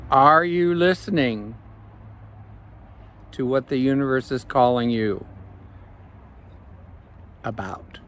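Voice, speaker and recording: A man, a life coach, speaking slowly and reflectively in an evening vlog recorded outdoors on a phone. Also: medium pitch, a bit bright. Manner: slow, measured, reflective.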